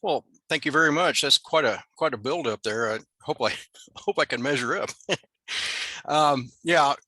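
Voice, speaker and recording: Older American man, bright dry voice. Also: on the high side, moderate pace, dry recording. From Nebraska, USA. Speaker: guest presenter at an amateur radio club. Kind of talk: informal presentation over video call.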